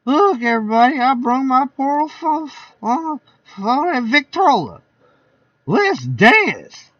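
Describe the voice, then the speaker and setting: A man voicing loud, rowdy party guests at a backyard barbecue. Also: extremely high pitch, big pitch swings, slow, no echo, recorded from a distance, lo-fi sound, pitched above the performer's own voice, exaggerated voice.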